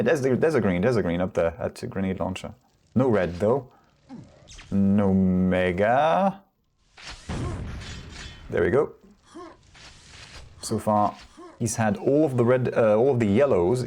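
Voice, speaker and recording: A man casting a competitive video game match solo, chatty and relaxed, often correcting himself. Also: lowish voice, unhurried, pauses often, a little hiss or hum. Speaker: esports caster. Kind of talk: solo play-by-play commentary.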